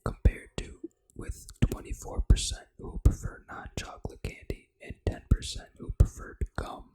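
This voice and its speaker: Breathy whispering ASMR gaming host. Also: moderate pace. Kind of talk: whispered ASMR, reading out facts.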